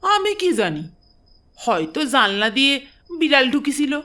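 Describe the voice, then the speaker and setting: A man's voice: very high voice, very animated, measured pace, sharp tone, pitched above the performer's own voice, exaggerated voice. A man voicing a shifty middle-aged village landlady who scolds and fakes crying. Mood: indignant. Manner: whiny, fake-crying, accusing.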